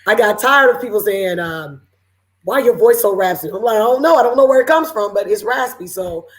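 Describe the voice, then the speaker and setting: A woman from Seattle with a raspy voice, hosting a casual panel chat over a video call with lots of fillers. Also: mid-range voice, a little fast, poor audio. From Seattle, Washington. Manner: relaxed, chatty, lots of fillers. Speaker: panel host.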